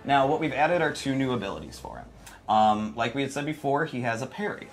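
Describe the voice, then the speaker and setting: Male game developer, enthusiastic and casual on a demo stream, average pitch, music underneath. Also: expressive, brisk. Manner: enthusiastic, interjects exclamations. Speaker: developer demonstrating a video game. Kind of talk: casual gameplay commentary.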